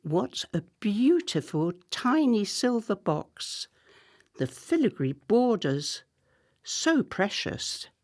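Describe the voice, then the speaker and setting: A woman acting a discreet, ambitious campaign manager in a radio play, controlled, deferential and deliberate. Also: medium pitch, sing-song, darkish tone, heavily acted. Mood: awed, hushed.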